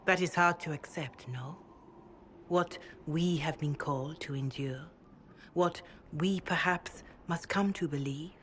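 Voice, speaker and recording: Hushed, slow-spoken wise older woman. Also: low voice, slight background noise. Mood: solemn, reassuring. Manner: measured, solemn, consoling. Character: wise, devout older woman counselor, calm and grave. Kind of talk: dramatic game dialogue.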